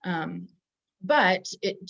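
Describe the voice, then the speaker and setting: A woman hosting a conversational Bible discussion, explaining in halting phrases and often correcting herself as she goes. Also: fairly low pitch, lively intonation, vocal fry, fairly bright tone. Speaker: host of a Bible discussion podcast. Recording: muffled, dull lo-fi audio.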